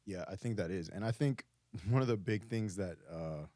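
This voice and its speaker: A man's voice: low-pitched, normal speed, warm tone, no echo, clean studio sound. A young man from Brooklyn, a guest on a podcast, talking candidly and earnestly in casual conversation. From Brooklyn, United States. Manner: candid, earnest, talks through ideas with restarts.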